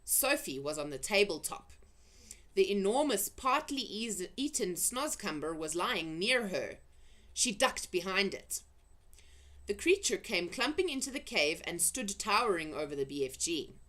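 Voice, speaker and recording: A woman narrates a children's story with expressive, dramatic phrasing. Her voice is medium in pitch and bright, with widely swinging intonation. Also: a little slow. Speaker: storybook reader.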